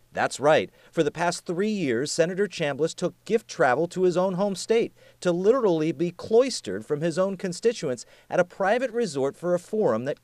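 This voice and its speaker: News reporter, male: emphatic, dramatic pauses, swooping pitch, dry close recording. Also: a little mellow, no echo. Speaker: television news reporter and anchor. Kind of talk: scripted TV news narration.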